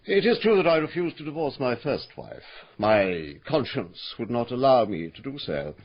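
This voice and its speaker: An actor playing a cold, sinister middle-aged aristocrat in a radio drama, pompous and disdainful, with an upper-class English accent. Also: male voice, mid-range voice, big pitch swings, moderate pace, dull audio.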